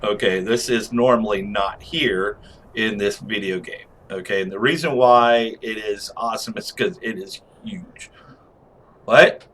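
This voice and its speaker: A man with a fairly low voice talks through gameplay in a casual way. He rambles and jokes as he goes, like a reviewer showing off a game. There is faint background noise and game ambience behind him. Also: unhurried, a bit bright, low-quality recording.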